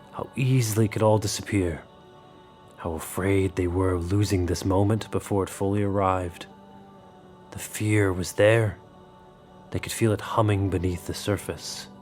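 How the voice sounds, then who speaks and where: A man reads scripted, dramatic story narration. His voice is low, and music plays beneath his words. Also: a little mellow. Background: music under the voice.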